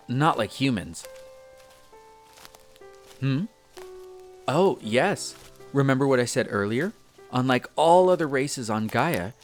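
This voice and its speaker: A man's voice: medium pitch, lightly acted. Man playing an earnest young elf explorer, music behind. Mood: earnest, explaining. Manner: hesitant, chatty, explains eagerly. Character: earnest, bookish young male elf explorer, easily flustered apprentice. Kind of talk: scripted roleplay audio drama addressed to the listener.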